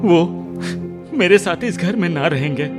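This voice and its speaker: A man playing a humble, wounded younger brother in a Hindi radio drama, speaking in a halting, sorrowful way. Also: high voice, animated. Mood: remorseful, wounded. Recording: music behind the voice.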